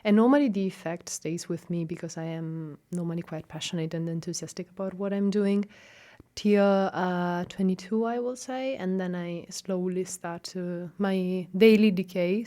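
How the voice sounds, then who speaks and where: A young woman from Italy speaks English with an Italian accent as an interview guest. She talks in an animated, casual way. She often stops to refill her thoughts with fillers and restarts. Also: fairly low pitch. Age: young adult. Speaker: guest researcher.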